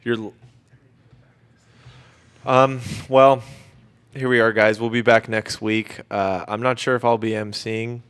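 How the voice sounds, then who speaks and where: A man's voice: mid-range voice, little pitch movement, slow pace, pauses often, a bit bright, clear, resonant tone. A man in his twenties hosting a student radio show, chatting casually in a joking, teasing way. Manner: jokey, teasing. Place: community radio studio.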